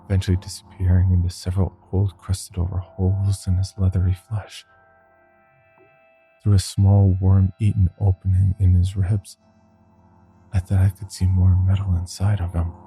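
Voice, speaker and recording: A man reads a scripted horror tale in a very deep, dark voice. His delivery is grave and deliberate, with a gravelly creak. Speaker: horror story narrator. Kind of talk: scripted first-person horror narration.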